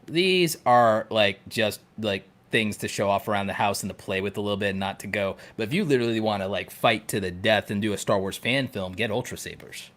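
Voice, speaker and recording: A man's voice: on the low side, brisk, few pauses, a bit bright. A man co-hosting a podcast in casual conversation, talking with jokey, nerdy enthusiasm. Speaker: podcast co-host.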